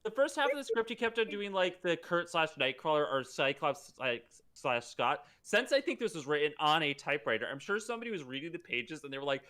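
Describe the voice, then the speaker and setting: A man's voice: fairly high pitch, very animated, fairly quick, hardly any pauses, bright, forceful tone. A man co-hosting a live comedic table read, reading stage directions aloud and stopping to joke. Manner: reads stage directions aloud, breaking off for jokes. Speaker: podcast co-host.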